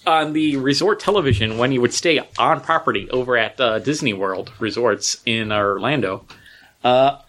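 A man hosting a casual podcast chat, an animated storyteller who laughs often. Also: medium pitch, moderate pace. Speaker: podcast host.

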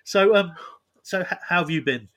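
A man's voice: high-pitched, normal speed, a loud crowd. Joking man hosting a football podcast. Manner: joking, teasing. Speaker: podcast host. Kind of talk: casual football podcast chat.

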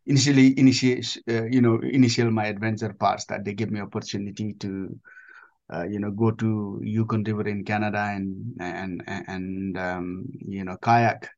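A man in his forties from Nepal with a Nepali accent, answering interview questions haltingly and repeating himself as he searches for words. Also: fairly low pitch, level tone, a little mellow, highs cut off. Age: 40s. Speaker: interview guest, adventurer and army veteran.

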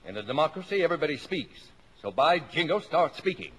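A man's voice: fairly high pitch, very animated, fast, a bit bright, slightly rough, exaggerated voice. An American actor in a mid-century radio drama, playing a jovial, middle-aged, blustery father with an exclamatory, mock-formal way of talking. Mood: brisk, mock-formal. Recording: noisy old radio broadcast recording.

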